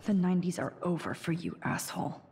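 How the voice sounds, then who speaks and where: Low-voiced introspective teenage girl. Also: animated, brisk, a little mellow. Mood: vengeful. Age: young adult. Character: introspective, determined teenage girl photography student. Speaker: game character. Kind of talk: video game inner monologue.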